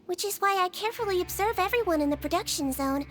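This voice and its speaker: A woman voicing a gentle, earnest young head nurse in a video game, speaking with polite, professional consideration over background music. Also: very high-pitched, animated, fast. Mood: calm, reassuring.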